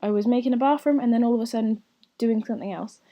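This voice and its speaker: Woman for a casual gaming voiceover, a bit croaky, warm, rambling. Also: a little high, quick pace. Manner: chatty and rambling, with soft whispery asides. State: slightly croaky, recovering from lost voice. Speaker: gaming channel host. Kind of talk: casual voiceover commentary over gameplay.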